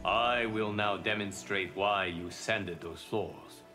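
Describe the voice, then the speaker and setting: An actor playing a wise, grave guardian god of martial arts who speaks formally in a fighting video game. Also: male voice, fairly low pitch, steady tone, slow pace, bright, forceful tone. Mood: solemn. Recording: game music behind.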